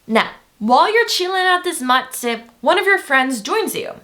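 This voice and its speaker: Lively, high-voiced woman teaching. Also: fairly quick. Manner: chatty, playful, addresses viewers as "you guys". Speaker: language teacher. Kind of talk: upbeat video lesson.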